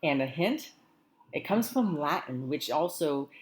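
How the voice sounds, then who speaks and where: Low-voiced woman greeting schoolchildren. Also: animated. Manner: warm encouraging teacherly tone, slow careful reading. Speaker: school morning announcements host. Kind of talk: friendly talk to schoolchildren.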